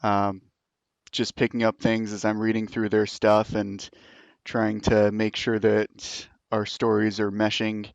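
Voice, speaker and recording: A man chatting casually as a livestream co-host in a measured, thoughtful manner. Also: on the low side, monotone, gravelly, no echo. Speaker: podcast writer and co-host. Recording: dull, slightly muffled, dry audio.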